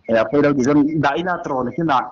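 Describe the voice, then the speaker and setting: A man with an average-pitched voice talks at a very rapid clip. He is having a casual phone conversation, and the sound is dull and muffled.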